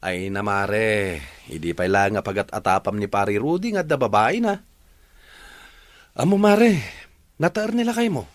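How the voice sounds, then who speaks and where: A man's voice: on the low side, lively intonation, moderate pace, pauses often, dry recording, close to an everyday voice. A man playing a plain-spoken family friend in a radio drama, giving admonishing, reproachful advice.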